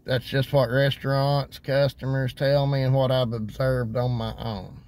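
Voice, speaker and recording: A man's voice: mid-range voice, flat delivery, a little slow. A man in his thirties, casually talking to camera from inside his car, giving plain, practical tips. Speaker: delivery driver vlogger. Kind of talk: casual vlog talk to camera. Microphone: phone camera on dashboard. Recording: loud car and street noise behind.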